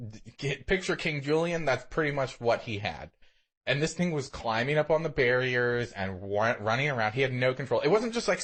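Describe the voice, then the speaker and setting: A man's voice: mid-range voice, fairly bright tone. A man co-hosting a podcast, telling stories in a casual, sarcastic way and swearing freely. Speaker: podcast co-host. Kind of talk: casual chat, anecdotes.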